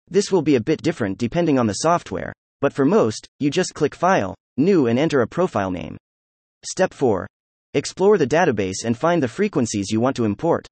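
A computer-generated male voice reads a how-to guide aloud in a steady instructional way. His pitch moves around a lot, and the recording is dry, with no room sound. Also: husky, dry recording. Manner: even instructional delivery with lively pitch. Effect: synthetic text-to-speech voice. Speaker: narrator. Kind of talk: scripted article reading.

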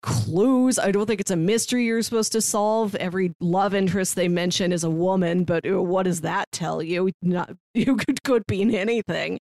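A woman's voice: mid-range voice, normal speed, hardly any pauses, no echo. Chatty woman podcast co-host, dry mic. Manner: chatty, animated, lots of "like". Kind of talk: casual podcast chat. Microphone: remote call mic.

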